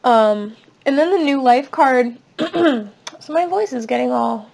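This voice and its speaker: A young woman giving a casual tarot reading at a table, chatty and reassuring, her voice slightly raspy. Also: high voice, slow, a little mellow, a little hiss or hum. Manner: chatty, reassuring, many fillers. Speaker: tarot reader. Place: indoors at a table.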